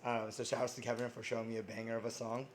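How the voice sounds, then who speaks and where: A man hosts a cooking stream in a relaxed, rambling way, full of ums and restarts. His voice is hoarse and sits at an ordinary middle pitch. A faint room hum runs underneath. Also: rapid-fire. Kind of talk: casual cooking stream chat.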